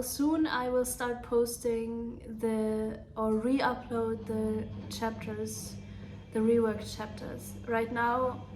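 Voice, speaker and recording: A young German woman, a native German speaker, talking earnestly in a soft, unhurried way about writing her novel. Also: on the high side, noisy background. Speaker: author reading her own novel. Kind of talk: reflective vlog talk.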